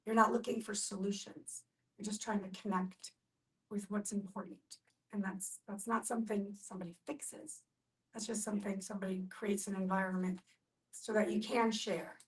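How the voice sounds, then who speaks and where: A woman talks in a reflective group discussion from a little way off the microphone. Her voice sits at a medium pitch, and she explains things earnestly, leaning on repetition to stress her points. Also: steady tone, normal speed, distant mic, poor audio.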